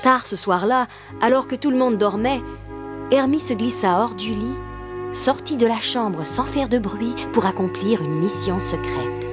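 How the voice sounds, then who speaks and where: A woman's voice: on the high side, a little slow, lightly acted. A woman narrator telling a children's cartoon story in scripted storytelling style. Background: music.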